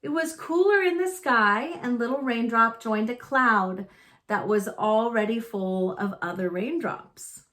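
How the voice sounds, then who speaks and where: Woman, higher-pitched voice, children's read-aloud narration, slow and deliberate, storyteller for young listeners. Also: a bit bright. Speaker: storyteller reading a picture book aloud to children.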